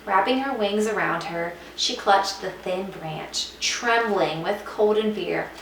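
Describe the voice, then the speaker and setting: A woman reading a children's picture book aloud as a teacher would, with expressive, dramatic emphasis. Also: medium pitch, slow. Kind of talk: expressive scripted storybook narration. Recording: echoey room, distant mic, faint noise.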